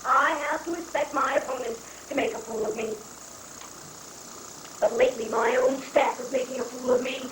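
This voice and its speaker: A woman's voice: sits high, normal speed, natural voice. A woman playing a blustering, whiny president with a cowboy streak in an amateur dramatic reading of a satire. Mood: aggrieved. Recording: noisy, roomy live reading from far off.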